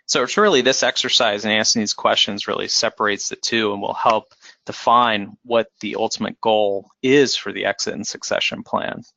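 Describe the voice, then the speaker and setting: A man presenting a webinar as a financial advisor, explaining in a measured way with frequent fillers and restarts. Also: lowish voice, steady tone, creaky, sharp tone, no room sound. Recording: dull lo-fi webinar audio.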